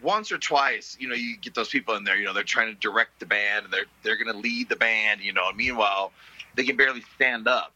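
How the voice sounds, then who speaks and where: A middle-aged man with a lowish, husky voice and a very bright, sharp edge, telling stories in a relaxed way. He leans on fillers as he talks. The sound is dry and close. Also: a little fast, no echo, lo-fi sound. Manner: relaxed storytelling, many fillers. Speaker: blues musician guest. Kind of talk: casual interview chat.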